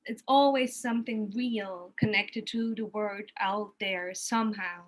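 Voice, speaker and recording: A woman with a German accent, a native German speaker, casually explaining her work in a halting way as she thinks aloud. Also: mid-range voice, steady tone. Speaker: interviewed art educator giving museum tours.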